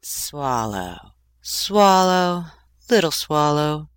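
A woman playing a sorrowful, gentle male statue prince in a storybook, speaking in a measured, solemn, imploring way. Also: deep voice, sing-song, clean tone, dry recording, full character voice. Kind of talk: storybook character voice.

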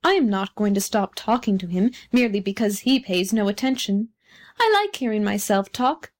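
A woman's voice: medium pitch, lively intonation, moderate pace, natural voice. A woman voicing a vain, haughty male firework rocket from a storybook, speaking in a pompous, condescending way. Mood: smug, boastful. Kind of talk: storybook character reading.

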